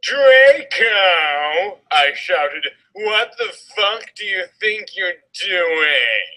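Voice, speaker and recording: Theatrical man with very bright, forceful voice. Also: sits high, sing-song, drawn-out words, poor audio. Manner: theatrical, exaggerated. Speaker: reader of fan fiction. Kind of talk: comic dramatic reading.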